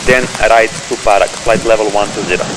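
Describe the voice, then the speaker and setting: A man's voice: lowish voice, quick pace. Male air traffic controller on noisy radio. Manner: formal, procedural phrasing. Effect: aviation radio transmission. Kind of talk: clipped procedural radio communication.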